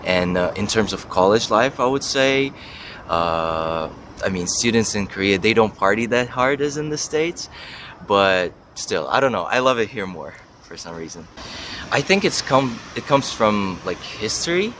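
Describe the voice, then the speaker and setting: A man's voice: lowish voice, lively intonation, measured pace, a bit bright, slight background noise. A young man giving casual interview answers as a university student, thinking aloud and hedging as he goes. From Russia and the US. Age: young adult.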